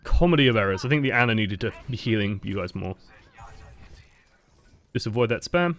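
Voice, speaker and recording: A man's voice: fairly low pitch, lively intonation, fairly quick, a bit bright. A man with a dry voice, giving casual, explanatory coaching commentary over gameplay footage. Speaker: game coach.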